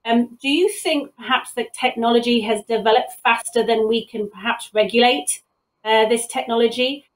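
A woman's voice: fairly high pitch, normal speed, poor audio. A woman, asking a question as a judge on a video call, phrasing it tentatively. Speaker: competition judge. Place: indoor room, on a video call.

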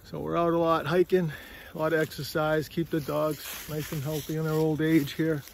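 Gravelly middle-aged man hiking, out of breath. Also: fairly high pitch, level tone, normal speed, slight background noise. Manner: chatty, rambling, keeps asking "all right?". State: a little out of breath. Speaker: vlogger. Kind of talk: casual walking vlog. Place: windy forest trail outdoors. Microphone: phone at arm's length.

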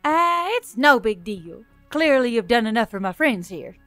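A woman voicing a wry, sarcastic young adventurer woman with a raised, lively delivery. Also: fairly high pitch, normal speed, no echo, soft background music. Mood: sardonic. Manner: sour, sarcastic, bright and raised.

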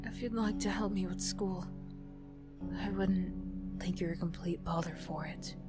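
A woman's voice: on the low side, measured pace, loud music behind the voice, lightly acted. A woman playing a vulnerable, guilt-ridden young former bully in an ASMR roleplay, hesitant, stammering and pleading. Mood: shy, tearful.

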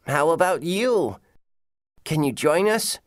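A woman's voice: low voice, animated, slow, very noisy. A woman voicing a carefree, playful male grasshopper in scripted English-lesson cartoon dialogue. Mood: carefree, inviting.

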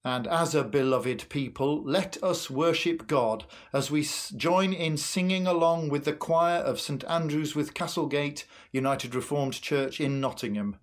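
An older man leading church worship and prayer in a solemn, measured manner. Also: on the high side. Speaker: worship leader. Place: indoor room at home, in front of curtains.

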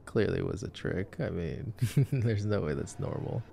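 A man playing a nerdy, affectionate boyfriend in an ASMR sleep-aid roleplay, soft-spoken, teasing and rambling as he comments on a movie. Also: sits low, animated, unhurried, creaky, a little hiss or hum, exaggerated voice. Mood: amused, curious.

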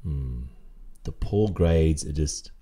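Very deep, slow Australian man. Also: lively intonation, mellow. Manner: deliberate, measured, stern. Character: stern, controlling, possessive boyfriend lecturing his partner. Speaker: roleplay audio performer. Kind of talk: ASMR boyfriend roleplay monologue.

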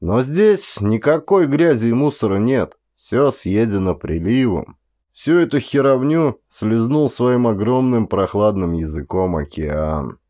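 A man's voice: medium pitch, expressive, unhurried, warm tone. A middle-aged man reading a short story as an audiobook narrator, in a dramatic scripted style.

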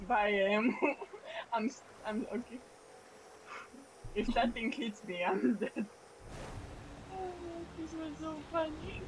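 A woman's voice: a little high, lively intonation, slow pace, long pauses, noisy background. A woman laughing and joking in casual group voice chat while gaming. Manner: laughing, playful. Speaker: gamer in voice chat.

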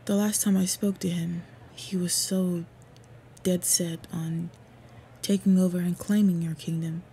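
Mellow, devoted orc warrior girlfriend, played by a woman. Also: on the low side, flat delivery, unhurried. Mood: anxious, troubled. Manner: soft, earnest, intimate, often breathy. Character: devoted, protective female orc warrior lover. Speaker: roleplay audio voice actor. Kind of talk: intimate roleplay audio acting. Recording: clear background ambient noise. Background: ambience sound effects.

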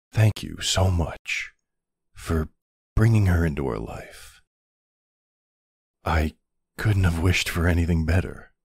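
A man playing a tender mafia boss husband and doting father in an intimate ASMR roleplay, speaking softly with hesitant pauses in a gravelly, husky voice. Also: extremely low pitch, dry recording, studio quality, full character voice. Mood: tender, loving. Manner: soft, unhurried, hesitant pauses.

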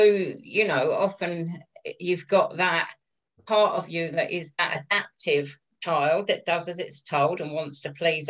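A woman leading a group discussion over a video call, asking gentle, probing questions. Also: on the low side, poor audio. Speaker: discussion leader. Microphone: video call webcam.

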